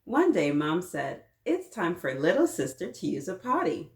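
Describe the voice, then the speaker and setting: Lively woman reading to children, roomy sound. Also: on the low side, normal speed. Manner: encouraging, asks the children many questions. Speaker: children's story time host and reader. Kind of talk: read-aloud storytelling with children, chatting along. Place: small children's playroom, indoors. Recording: roomy echo, mic at a little distance.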